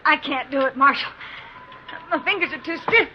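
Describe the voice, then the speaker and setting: A woman's voice: high voice, lively intonation, normal speed. An actress playing a plucky, direct young frontier woman with a rural American accent in a 1950s radio western. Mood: anxious, hard. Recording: dull, faintly noisy 1950s radio recording.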